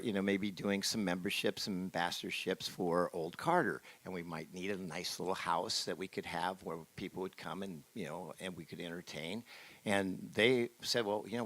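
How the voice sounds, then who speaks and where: A middle-aged man with a low voice, a whiskey company owner chatting as a guest, telling stories easily and laughing often. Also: a bit bright. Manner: easygoing storyteller, laughs often. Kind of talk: casual podcast chat. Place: whiskey tasting room.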